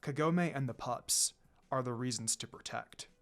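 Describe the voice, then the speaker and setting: A man's voice: medium pitch, moderate pace, a bit bright, pitched below the performer's own voice, natural voice. A man voicing a proud, protective demon lord in a story, a formal and firm but dutiful son. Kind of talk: storybook character reading.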